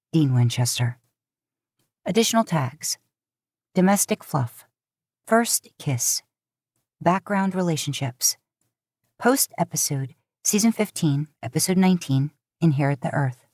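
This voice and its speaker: A woman narrating scripted fiction in an audiobook style, with measured, deliberate phrasing into a close mic. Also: deep voice, a little mellow, no echo. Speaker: podfic narrator.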